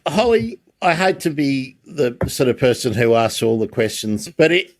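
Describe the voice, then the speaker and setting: Casting: Australian male interviewer, average pitch, informal and curious, wanders through questions and pokes fun at himself. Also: very animated, moderate pace. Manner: inquisitive, rambling, self-deprecating. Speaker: livestream host and interviewer. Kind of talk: casual interview chat.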